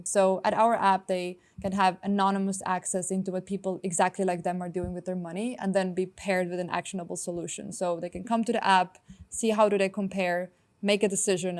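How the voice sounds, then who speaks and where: A young woman from Estonia, a startup founder answering interview questions in a quick, upbeat way with many filler words. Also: on the low side.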